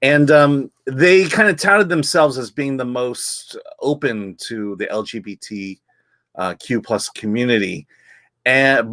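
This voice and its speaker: A man's voice: mid-range voice, a bit bright, no echo. A man, editor of a film site, hosting a casual review chat with many ums and repeated words. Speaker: film magazine editor and host.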